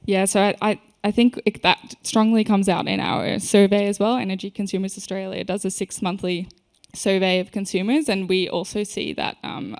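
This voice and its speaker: A woman's voice: medium pitch, vocal fry, darkish tone. A woman moderating a conference panel, speaking conversationally with frequent fillers like "you know" and "um". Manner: conversational, hedging with many fillers. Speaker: panel moderator from a consumer body.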